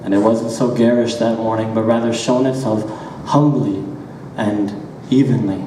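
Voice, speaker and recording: A man giving a dramatic, deliberate literary reading of first-person prose, with drawn-out pauses and rhythmic repetition. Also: lowish voice, steady tone, warm tone. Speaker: author reading own work aloud. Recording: very echoey hall, distant mic, background noise.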